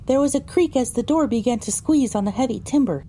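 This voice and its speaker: A woman's voice: on the high side, lively intonation, a little fast, warm tone, slight background noise, natural voice. A woman narrating a first-person story for an audio drama, reading descriptively with dramatic phrasing.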